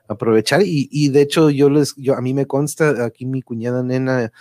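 A Mexican man hosts a relaxed conversation in a mid-range voice. He rambles informally and keeps looking for agreement. The sound is dry, with little room echo. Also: medium pitch, normal speed. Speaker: host. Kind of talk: casual chat.

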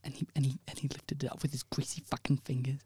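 Guy doing a deadpan silly story bit, dry close mic. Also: medium pitch, fast talker, breathy edge, dry recording, whispered. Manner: mock-dramatic, deadpan. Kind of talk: improvised comedy storytelling.

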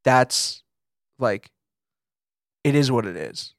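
A young American man with a medium-pitched voice speaks as a podcast co-host. His delivery is casual and confessional, moving haltingly as he thinks aloud. The recording is dry, without room sound. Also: big pitch swings, no room sound.

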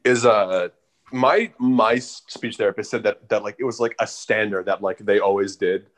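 A man's voice: lowish voice, fairly even intonation, moderate pace. A young man co-hosting a casual podcast chat, rambling with lots of fillers, restarts and a light stutter. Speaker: podcast co-host.

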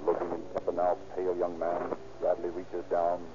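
A man narrating a 1930s radio crime drama in a formal, declamatory manner with deliberate phrasing. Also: low voice, completely flat delivery, very noisy, close to an everyday voice. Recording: narrow old radio recording, loud music behind.

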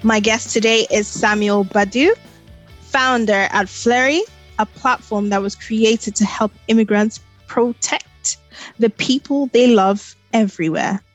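A woman's voice: on the high side, fairly even intonation, measured pace, faint music behind, rough recording. A woman hosting a podcast interview, upbeat and friendly, laughing easily as she welcomes a guest. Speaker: podcast host. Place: United Kingdom.

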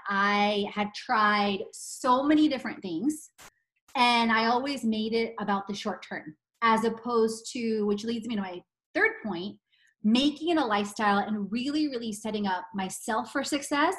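A woman's voice: a little high, a bit bright. Upbeat woman guest, laughs often. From Poland. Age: 40s. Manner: enthusiastic, laughs often, fast bursts. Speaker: podcast guest. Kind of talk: casual interview chat.

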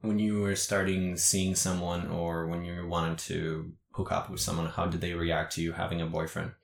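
A man's voice: low voice, little pitch movement, moderate pace, creaky. A man whose first language is Russian, interviewing in a casual chat and asking curious, probing questions with dry quips. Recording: roomy echo.

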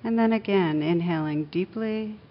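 A woman leading a guided meditation as a teacher, speaking gently and soothingly, with long unhurried pauses. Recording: dull muffled recording with faint rumbling noise.

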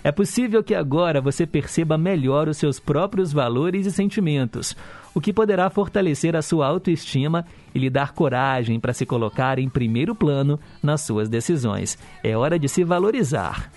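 A man with a Brazilian Portuguese accent, hosting a radio show, chatting quickly and reading horoscopes with a joke at his own expense. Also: fairly high pitch, expressive, darkish tone, dry recording. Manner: brisk, chatty, jokes at himself. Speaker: radio host.

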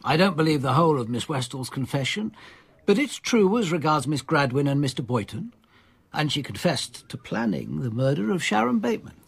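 An actor playing a composed, courteous police commander in a radio drama, with a careful, probing way of speaking. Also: male voice, fairly high pitch, very animated, brisk, vocal fry, slightly rough, full character voice. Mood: firm, reflective.

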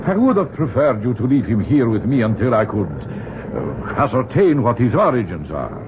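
An actor playing a grave, courteous archaeologist in an old-time radio drama, speaking in a solemn, formal, measured way. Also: male voice, mid-range voice, very animated, close to an everyday voice. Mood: regretful, courteous. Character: grave, courteous male archaeologist wary of evil. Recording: narrow-band noisy old radio recording.

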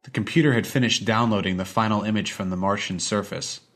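Low-voiced man narrating a story. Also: steady tone, fast, fairly bright tone. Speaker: audiobook narrator.

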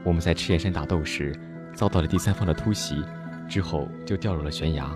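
A man acting a cheerful, persistent young martial-arts hero in a radio drama, with a teasing, expressive delivery. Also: sits low, a little fast, lightly acted. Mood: serious. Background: music score underneath.

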